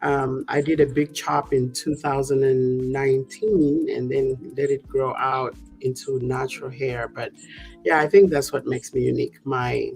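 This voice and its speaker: Deep-voiced woman with gravelly fry. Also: soft background music, poor audio. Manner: relaxed, thoughtful, pauses with ums. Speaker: co-host. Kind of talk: casual chat.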